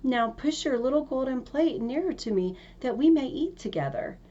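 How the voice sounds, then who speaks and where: A woman's voice: high voice, expressive, moderate pace, mellow, lo-fi sound, voice pushed up. A woman reading a storybook, voicing a small talking frog who pleads and makes insistent demands. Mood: demanding.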